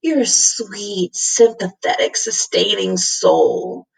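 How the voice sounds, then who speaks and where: A woman voicing a sly, flattering male cat creature in a storybook, with a theatrical, gushing and wheedling manner. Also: mid-range voice, slow, creaky, slightly rough, recorded from a distance, exaggerated voice, rough recording. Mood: delighted flattery.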